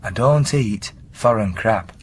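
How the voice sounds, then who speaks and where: An actor playing a plain-spoken, domineering working-class boyfriend with a Yorkshire accent in a radio drama. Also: male voice, lowish voice, animated, takes it slowly, slight background noise. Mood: bossy, grumbling. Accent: Northern English, Yorkshire. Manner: matter-of-fact, bossy, plain-spoken.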